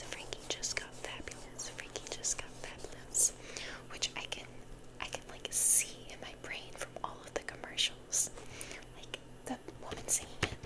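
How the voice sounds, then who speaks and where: A young woman whispering in a soft, breathy voice, rambling and repeating phrases as in an ASMR unboxing. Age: young adult. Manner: soft, repeats phrases slowly, chatty over-explaining. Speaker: ASMR content creator.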